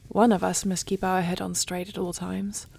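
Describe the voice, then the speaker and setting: Woman acting an intimate, creaky-voiced dragon queen. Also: fairly low pitch, flat delivery, moderate pace, darkish tone. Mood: tender, relieved. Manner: intimate, formal storybook speech. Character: devoted dragon queen, fierce yet tender wife. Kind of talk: audio roleplay acting.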